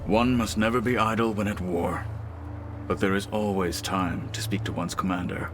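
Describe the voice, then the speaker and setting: A man acts out a solemn, loyal soldier from a video game. His voice is lowish, with stern, stately, formal delivery, over faint ambient noise. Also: steady tone, normal speed, close to an everyday voice. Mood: solemn, respectful. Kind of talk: video game cutscene acting.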